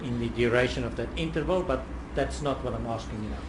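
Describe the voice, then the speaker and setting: A man with a South African accent questioning a witness in court in a probing, deliberate way. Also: mid-range voice. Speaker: lawyer cross-examining a witness. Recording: noisy, ambient courtroom recording.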